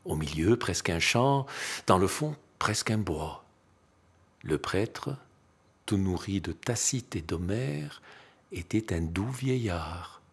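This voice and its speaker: A man gives an expressive recitation of poetry in a low, husky voice. His intonation swings widely, with theatrical, declamatory phrasing and dramatic pauses that let each line stand apart. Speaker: poetry reader.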